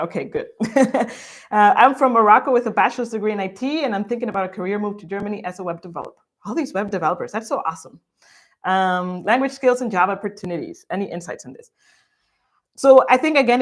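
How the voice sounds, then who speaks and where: Woman, from Guatemala, bubbly, laughs often, livestream co-host. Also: lowish voice. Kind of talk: casual livestream chat, reading viewer questions.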